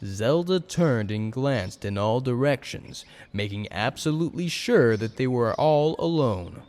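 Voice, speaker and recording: Expressive male story narrator. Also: medium pitch, unhurried. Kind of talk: dramatic scripted story narration.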